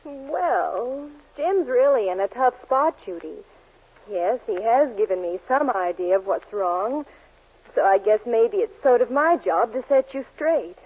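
A woman's voice: on the high side, lively intonation, unhurried, husky. An American actress playing a tactful, reluctant young woman confiding hesitantly in a friend, in a 1940s radio commercial with muffled sound. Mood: reluctant, gentle. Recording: muffled, narrow-band 1940s radio recording.

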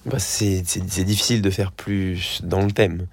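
A man's voice: sits low, steady tone, normal speed, creaky, husky, no echo. A man speaking on a radio call-in show, stammering and repeating his words. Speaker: radio guest.

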